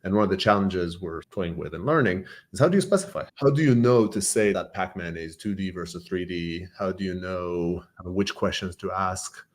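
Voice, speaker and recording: A man's voice: sits low, normal speed, hardly any pauses. A man hosting a conversational tech podcast, thoughtfully building his questions step by step. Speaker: podcast host.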